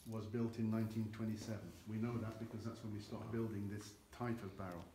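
Older guy explaining barrels on a tour, lowish voice, echoey warehouse with faint hiss. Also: flat delivery, fairly quick, warm tone. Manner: explanatory, gestures with both hands while talking. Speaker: cognac house guide. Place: large dim barrel warehouse with earthen floor.

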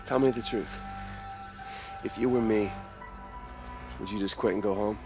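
An actor playing a headstrong young superhero, a cocky little brother who talks urgently and stammers in a video game. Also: male voice, fairly low pitch, steady tone, a little slow, music in the background. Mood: determined. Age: young adult. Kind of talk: cinematic video game acting.

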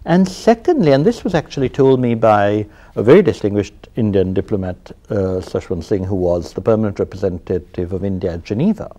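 Sri male host, expressive pitch, mellow tone; long studio questions. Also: a little fast, few pauses. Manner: animated, questioning, with hesitant fillers. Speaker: interview host. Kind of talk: formal studio interview. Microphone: lapel.

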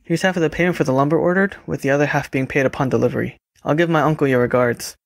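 A man's voice: on the high side, racing, creaky. A man playing a courteous, reserved, caring young mortician in an audio drama. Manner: courteous, understated.

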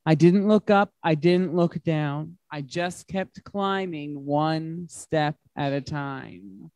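A man reads a children's story aloud at an unhurried pace. His phrasing is simple and emphatic, made for young listeners, and the sound is dry with little room echo. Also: on the high side, a little mellow, smooth. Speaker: guest reader at children's story time. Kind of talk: expressive picture-book read-aloud to children. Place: large glass-walled library room.

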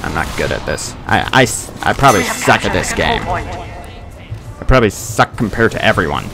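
A man's voice: low voice, big pitch swings, moderate pace, gravelly, over music. A man giving casual, improvised gameplay commentary, rambling in a self-deprecating way and often repeating himself. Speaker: gaming video creator. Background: video game sounds.

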